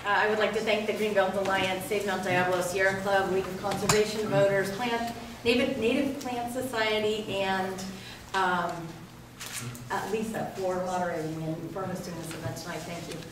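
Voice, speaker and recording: A middle-aged woman from Northern California, giving a measured debate opening statement as a candidate. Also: mid-range voice, level tone, background noise. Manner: measured, with frequent um and uh. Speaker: political candidate. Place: public event hall. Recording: echoey hall from far off.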